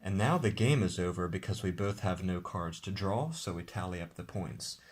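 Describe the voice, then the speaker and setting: A man, the game's designer, walking viewers through how to play his card game in a relaxed, explanatory way. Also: deep voice, moderate pace. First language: English. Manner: relaxed, explanatory, with occasional restarts. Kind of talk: casual tabletop game demonstration.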